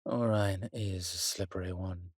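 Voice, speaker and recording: Man playing a weary, low-voiced husky vampire. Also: fairly even intonation, no echo, clean studio sound. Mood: weary, reflective. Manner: refined, slightly pompous, halting, sighing. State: weakened, recovering. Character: weary, wry, courtly male vampire sheltering a companion. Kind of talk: roleplay audio drama, speaking to the listener.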